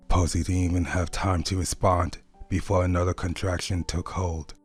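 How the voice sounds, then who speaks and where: A man's voice: deep voice, a little mellow, breathy edge. A man reading dramatic fiction aloud as a scripted story narration.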